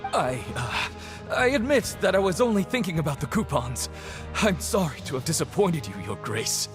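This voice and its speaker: An actor playing a nervous, fawning prison inmate who stammers and grovels, in video game dialogue. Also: male voice, on the high side, sing-song, moderate pace, over music. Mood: flustered. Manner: stammering, anxious, obsequious.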